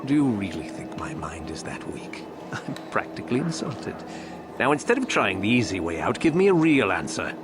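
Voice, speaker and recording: A man's voice: fairly low pitch, big pitch swings, moderate pace, heavy background noise. A man playing a sadistic, haughty Sith instructor in a video game, mocking and theatrical. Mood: exasperated, contemptuous. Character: sneering, sadistic Sith instructor, contemptuous bully. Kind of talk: video game villain acting.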